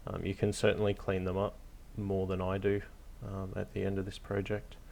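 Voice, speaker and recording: A man hosting a hobby tutorial, narrating casually off the cuff in a hesitant, low-key, encouraging way. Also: monotone, moderate pace, creaky, darkish tone, slight background noise. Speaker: hobby craft tutorial host.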